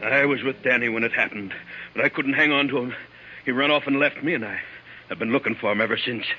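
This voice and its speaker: A man's voice: medium pitch, quick pace, lightly acted. An actor in a 1940s radio drama playing a fatherly old sea captain, anxious and protective, with a folksy, hesitant, coaxing manner. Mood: troubled. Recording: muffled, noisy old 1940s radio recording.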